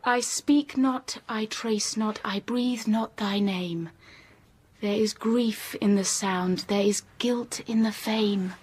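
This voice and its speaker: A woman's voice: medium pitch, slow pace, a little mellow, breathy edge. A woman playing a timid but steely young schoolteacher in a period radio drama, speaking formally and precisely and narrating her own story. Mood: hushed, intense.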